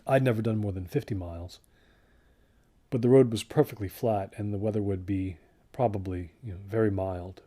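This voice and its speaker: A man acting as a young male hiker giving an interview in an audio drama. His voice is lowish and warm, with a soft dark tone. He speaks casually and reflectively, pausing as he remembers. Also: fairly even intonation, lightly acted. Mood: reflective, subdued. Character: young male student hiker recalling an ill-fated trip. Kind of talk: naturalistic documentary interview acting.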